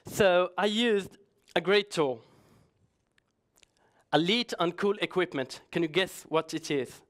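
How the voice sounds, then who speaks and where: Male conference speaker, casual and jokey, smooth clear voice pitched a bit high, lots of restarts. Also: slow, fairly bright tone. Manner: chatty, joking, hesitant, many restarts. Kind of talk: conference talk.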